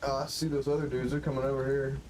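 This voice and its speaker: A man's voice: mid-range voice, level tone, quick pace. A man giving casual, rambling live commentary as a game streamer, muttering and swearing to himself with a stuffy-sounding nose. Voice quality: stuffy-nosed. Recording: gunfire and game noise behind voice. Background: video game gunfire and ambience.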